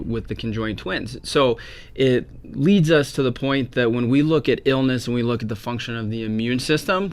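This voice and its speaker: A chiropractor, a man, patiently explaining health topics on a talk show, often checking in with "right?". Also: medium pitch. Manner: patient explainer, frequent tag questions. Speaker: chiropractor guest.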